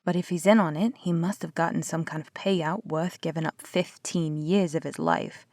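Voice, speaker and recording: A young woman with a low, gravelly voice talks close to the mic in a dry, dead room. She plays a skeptical investigator who argues and scoffs with casual swearing. Now and then she drops to a near whisper. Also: fairly even intonation, normal speed, darkish tone, no room sound. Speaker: audio roleplay performer as a skeptical investigator. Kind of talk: scripted one-sided audio roleplay.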